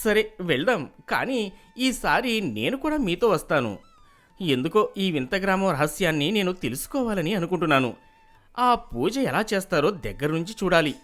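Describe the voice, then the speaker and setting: A man voicing an earnest, city-educated young man in an animated moral story, confident and questioning. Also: high voice, normal speed. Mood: curious.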